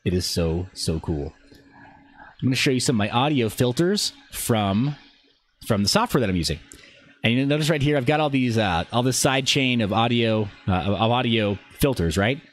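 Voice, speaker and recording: A man's voice: medium pitch, lively intonation, normal speed, a little hiss or hum. A man hosting a livestream, chatting casually and enthusiastically about tech in an informal, conversational way. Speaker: livestream host.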